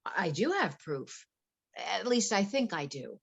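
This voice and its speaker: A woman's voice: medium pitch, very animated, takes it slowly, creaky, breathy edge, heavily acted. A woman playing a wealthy, anxious wife in a play reading, earnest, polite and flustered. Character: wealthy, anxious wife confiding fears about her husband.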